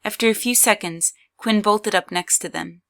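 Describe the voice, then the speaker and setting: A woman's voice: mid-range voice, normal speed, creaky, a bit bright. A woman reading scripted third-person story narration as the narrator of an audio drama.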